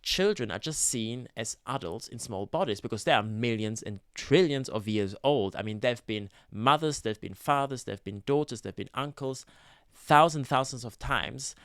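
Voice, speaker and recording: A man, telling his own story in a gentle, campy vlog, with hesitant fillers. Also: medium pitch, clean tone, dry recording, clean studio sound. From Switzerland. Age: 30s. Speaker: YouTuber, ex-Scientologist. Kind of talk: personal testimony vlog.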